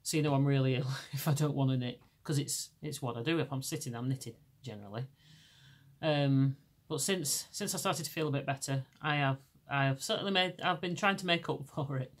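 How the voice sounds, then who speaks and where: A middle-aged woman from England with a Lancashire accent, hosting a podcast in casual chat. Her naturally deep voice is still hoarse from laryngitis, and she rambles with many restarts. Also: a little fast. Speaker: podcast host.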